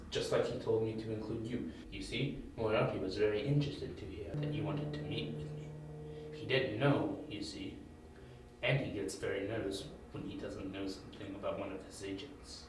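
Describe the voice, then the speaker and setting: A man acting a smug, ruthless crime boss who speaks with deliberate menace in an amateur audio drama. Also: lowish voice, a little mellow, close to an everyday voice. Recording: very echoey, distant, faint noise.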